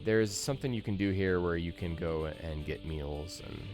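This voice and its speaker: Man, thirties, low voice, gaming host, casual commentary, thinking aloud, game music behind. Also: normal speed.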